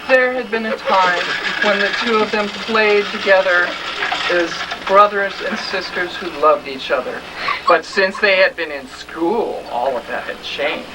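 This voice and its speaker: A man narrating a children's story in a mock-serious, melodramatic theatrical style. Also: high voice. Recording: distant, very noisy live stage recording.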